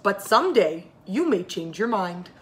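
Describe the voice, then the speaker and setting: A patient old grandfather from a children's storybook, read by a woman. He speaks gently and reassuringly, and the recording has noticeable background noise. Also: mid-range voice, sing-song, unhurried.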